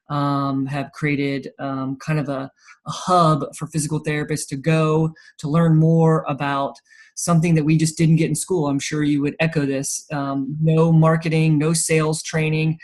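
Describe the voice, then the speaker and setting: A man in his thirties from South Carolina with an American accent and Swiss German as first language, chatting casually as an interview guest. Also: a little high. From Charleston, South Carolina, United States. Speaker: interview guest, physical therapist and entrepreneur.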